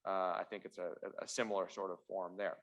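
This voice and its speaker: A man born in Russia and raised in Canada, a constitutional lawyer giving opening remarks at a university debate, halting often on "uh" and restarts, with dry wry asides. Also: sits low, flat delivery. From born in Russia, raised in central Ontario, Canada.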